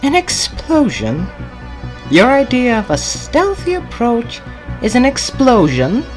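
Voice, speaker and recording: A woman's voice: fairly high pitch, wildly swooping pitch, slow, faint music behind, exaggerated voice. A woman playing an arch, sardonic renegade time-traveller in an audio drama, with a mocking, drawling, theatrical manner. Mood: incredulous.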